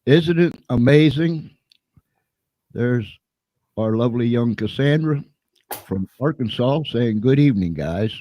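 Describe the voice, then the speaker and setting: An upbeat man hosts a live interview show, pumping up the talk between questions. His voice is mellow and warm in tone. The sound is dry and close, with no room echo. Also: mid-range voice, unhurried, pauses often. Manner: upbeat, energetic host patter. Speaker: show host.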